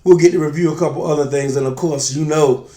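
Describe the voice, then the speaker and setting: A man with a slightly raspy, congested voice chatting casually as co-host of a drinks review show. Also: a little high, steady tone, quick pace, dark tone. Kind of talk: casual chat. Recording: roomy, echoey sound.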